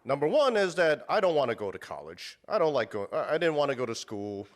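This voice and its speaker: A man's voice: medium pitch, very animated, fairly quick. A man talking casually as an interview guest, rambling with many fillers and restarts and cursing bluntly. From United States. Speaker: guest, meat purveyor.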